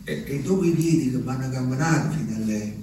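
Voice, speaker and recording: An actor playing an anguished, simple Italian peasant man, telling his memories in a halting, urgent stage monologue. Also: medium pitch, mellow, natural voice. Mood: tender, halting. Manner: halting, repetitive, urgent storytelling. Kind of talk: theatrical monologue. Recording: echoey room, distant mic, faint noise.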